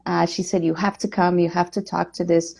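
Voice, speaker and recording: A woman talks as an interview guest in a soft, fairly low voice. She speaks hesitantly, filling pauses with ums as she tells her story. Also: level tone, creaky, slightly rough. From Poland. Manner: hesitant, many fillers, soft-spoken. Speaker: guest, nutritional therapist and nurse. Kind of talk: interview chat.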